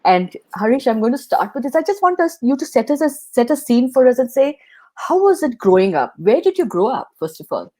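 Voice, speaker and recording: A woman hosting an interview, asking gentle, earnest questions. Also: on the high side, sing-song, brisk. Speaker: interview host.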